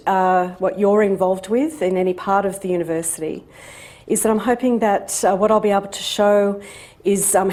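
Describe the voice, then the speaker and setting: Woman in midlife, lecturer, measured delivery, filler-heavy. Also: mid-range voice, a little mellow. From Australia. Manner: measured, many ums and uhs. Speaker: guest speaker, professor. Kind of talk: university seminar lecture. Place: lecture room.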